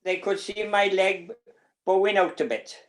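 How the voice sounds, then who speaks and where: Male interview guest, seventies, conversational, halting delivery with repeated words. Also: high-pitched, fairly even intonation. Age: 70s. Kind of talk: casual recollection in conversation.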